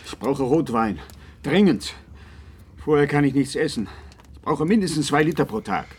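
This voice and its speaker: An actor playing a wretched, demanding old man on the run who lives on drink, in a radio play, with a husky voice. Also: fairly high pitch, lively intonation, normal speed. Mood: urgent, needy. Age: older.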